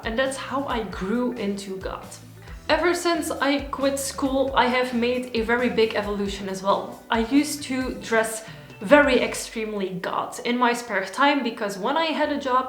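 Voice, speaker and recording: A woman's voice: fairly high pitch, fairly bright tone. Woman vlogging in an echoey room. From Belgium. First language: Dutch. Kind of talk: personal monologue to camera.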